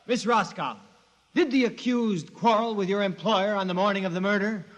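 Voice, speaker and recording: A man's voice: high-pitched, close to an everyday voice. A man playing a formal prosecutor. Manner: measured questioning. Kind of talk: 1950s radio drama acting. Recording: old radio broadcast with long reverb.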